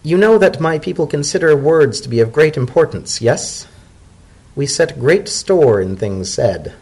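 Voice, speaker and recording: Man voicing a genteel old zebra lady, high-pitched. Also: animated, slightly rough, full character voice. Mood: amused, indulgent. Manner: measured, formal, gently wry. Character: genteel elderly female zebra scholar-diplomat, shrewd and indulgent.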